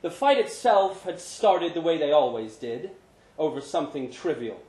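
A male story reader with a slightly high-pitched voice. He narrates fiction in a dramatic, scripted way. The recording has the clear echo of a live indoor room. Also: big pitch swings, normal speed, a little mellow. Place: indoor pub event. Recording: roomy live pub reading.

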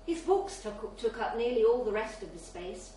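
A woman's voice: a little high, animated, normal speed, mellow. A woman reading a literary short story aloud as scripted narration at a live event in a bar. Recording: very echoey, distant mic, faint noise.

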